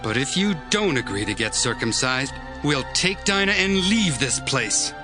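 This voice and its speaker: A man's voice: mid-range voice, sing-song, a little slow, over music. An actor playing a stern, cunning young tribesman in a dramatized audio Bible. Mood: stern, bargaining.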